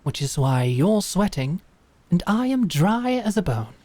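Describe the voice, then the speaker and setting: A woman voicing a stern, teasing female knight who teaches swordsmanship, with a dry, measured manner. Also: on the low side, very animated, warm tone, no room sound. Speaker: sword instructor. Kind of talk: fantasy audio drama acting.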